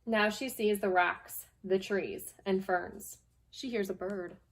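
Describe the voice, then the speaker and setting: Female storyteller for a children's read-aloud, mid-range voice, simple and deliberate phrasing. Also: mid-range voice, steady tone, low-quality recording. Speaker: guest storyteller, school staff member.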